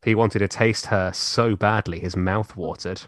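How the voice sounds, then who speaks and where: A man's voice: fairly low pitch, fairly even intonation, a little slow, dry recording, studio quality. Man reading aloud with mock drama. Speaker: podcast host reading a novel aloud. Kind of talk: comedic group reading and banter.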